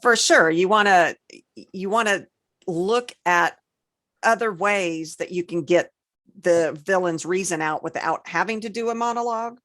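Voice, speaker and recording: A woman's voice: lowish voice, moderate pace, fairly bright tone. A woman co-hosting a podcast, giving casual conversational advice with plain examples and an agreeable manner. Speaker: podcast co-host.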